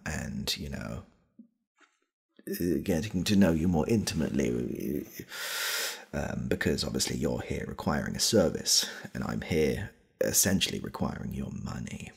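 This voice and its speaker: A British man playing an awkward, needy shop worker in a comedy ASMR roleplay, speaking in a hesitant, stammering, repetitive way with a soft, husky, gravelly voice. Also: a bass voice, animated, unhurried, full character voice. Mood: awkward, formal. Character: awkward, needy male shop worker clumsily propositioning a customer.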